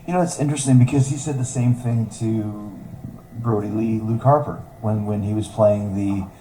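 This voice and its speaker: A man's voice: fairly low pitch, steady tone, moderate pace, mellow. A man speaking casually in an interview, hesitating and searching for words. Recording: roomy echo with faint noise.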